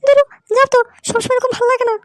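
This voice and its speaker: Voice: extremely high pitch, flat delivery, racing, no echo, clean studio sound. A performer voicing a coy, pouting village woman in a comic cartoon, protesting flirtatiously in a whiny way over music. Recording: music behind the voice.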